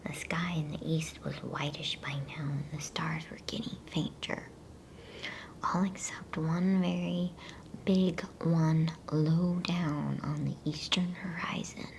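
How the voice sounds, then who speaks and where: Female ASMR reader, hushed creaky whisper, flat and very unhurried, for bedtime storytelling. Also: low voice, slight background noise. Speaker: ASMR storyteller reading a children's novel aloud.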